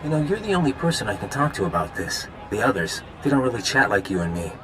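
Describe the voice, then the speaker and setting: Sci-fi conspirator villain, lowish voice, smug, persuasive, quietly menacing, music behind. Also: male voice, big pitch swings, fast, poor audio. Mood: wistful. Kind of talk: dramatic sci-fi game dialogue.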